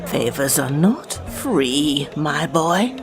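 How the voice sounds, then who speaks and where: A woman playing a cunning, courtly old witch in an audio drama, speaking in a deliberate, formal manner. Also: low voice, big pitch swings, music in the background, exaggerated voice. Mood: sly, measured.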